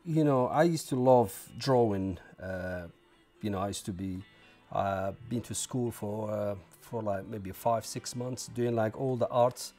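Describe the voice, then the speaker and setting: Algerian man recalling, halting speech. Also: on the low side, warm tone, soft background music. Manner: halting, many restarts and fillers. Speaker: interviewee. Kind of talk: documentary interview. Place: living room at home. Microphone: lapel.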